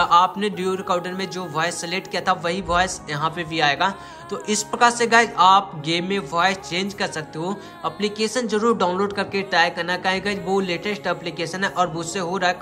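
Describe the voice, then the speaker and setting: A young man walks viewers through a tutorial step by step. His voice sits high and sounds bright and sharp. He speaks directly to his audience and often repeats himself, with music playing softly behind him. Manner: repetitive, addresses viewers directly. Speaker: tech tutorial YouTuber.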